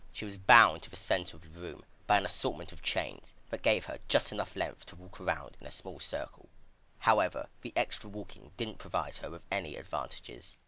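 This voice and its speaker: A man giving a dramatic scripted narration of a dark fantasy story. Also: sits low.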